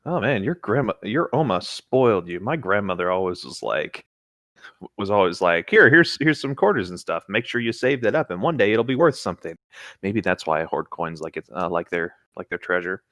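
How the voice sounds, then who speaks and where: A man's voice: low-pitched, very animated, fairly quick, a bit bright, no room sound, clean studio sound. A man co-hosting a casual read-aloud, chatting and joking in a rambling, self-amused way. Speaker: co-host reading video game creepypastas aloud.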